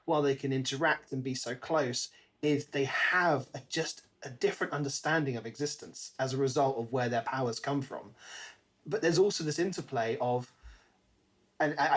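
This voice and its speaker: A man co-hosting a podcast, chatting casually about a book and acting out bits as he retells. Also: medium pitch. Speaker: podcast co-host.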